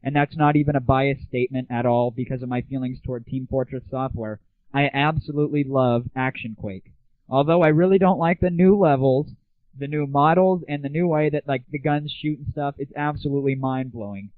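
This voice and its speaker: A man's voice: medium pitch, fairly even intonation, a little fast, no room sound. Young man hosting over tinny, phone-like audio. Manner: irreverent, sarcastic, slangy. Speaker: internet radio show host. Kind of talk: casual gaming monologue. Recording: tinny, phone-like 1990s internet audio, roomless.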